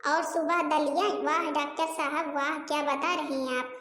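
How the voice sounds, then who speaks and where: A woman plays a scheming young daughter-in-law in a village cartoon comedy. The voice is extremely high, almost childlike, and full of aggrieved chatter and theatrical asides. It rings with long, hall-like echo. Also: fairly even intonation, moderate pace, noisy background, close to an everyday voice. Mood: sarcastic, worried. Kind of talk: exaggerated Hindi cartoon comedy dialogue. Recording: echoey hall-like reverb.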